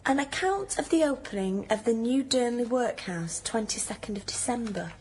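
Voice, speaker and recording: Northern english nurse, blunt and teasing, highish voice, radio play with some background noise. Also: female voice, lively intonation, moderate pace, a little mellow. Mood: storytelling. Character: down-to-earth hospital nurse, teasing, plain-spoken old friend. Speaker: actor in a radio drama. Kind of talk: naturalistic radio drama dialogue.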